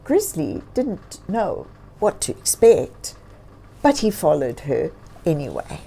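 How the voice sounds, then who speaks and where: A woman reading a story aloud for children as host, expressive and encouraging, often putting questions to listeners. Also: low-pitched, takes it slowly, vocal fry, breathy edge, low-quality recording.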